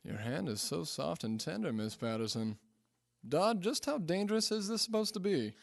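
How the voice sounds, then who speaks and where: Man playing a bewildered everyman hero, slightly high. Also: expressive, moderate pace, vocal fry, no echo, clean studio sound. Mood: flirty. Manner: questioning, hesitant. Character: bewildered everyman inventor hero, clumsily flirtatious. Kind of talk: sci-fi audio drama acting.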